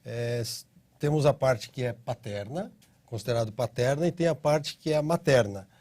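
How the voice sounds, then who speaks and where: A middle-aged man with a Brazilian Portuguese accent, a company head being interviewed as a guest, explaining a process step by step and often adding quick tag questions. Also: medium pitch, mellow. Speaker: interview guest, citizenship consultancy CEO.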